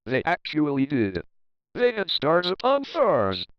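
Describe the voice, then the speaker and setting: A man reading a rhyming picture book aloud in an expressive, theatrical storytelling manner. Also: fairly high pitch, unhurried, highs cut off. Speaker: children's story reader, host. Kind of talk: rhymed picture-book narration.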